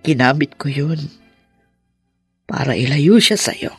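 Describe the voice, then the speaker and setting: A woman playing an anguished, possessive middle-aged mother in a radio drama, confessing in a halting, heavy way. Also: very deep voice, lively intonation, husky, soft background music, heavily acted. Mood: remorseful, anguished. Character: possessive, remorseful mother of grown children, anguished.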